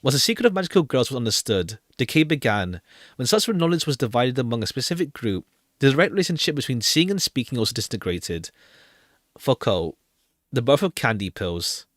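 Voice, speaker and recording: A man's voice: medium pitch, very animated, fairly quick, a bit bright, dry recording, studio quality. A man streaming a visual novel, reading the game text aloud and adding casual commentary.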